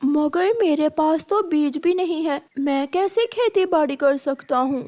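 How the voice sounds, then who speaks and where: A woman's voice: high voice, a little slow, darkish tone, voice pushed up. A woman voicing a hardworking, earnest young male bird in a cartoon story, speaking with resolve. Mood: determined. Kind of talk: cartoon story character dialogue.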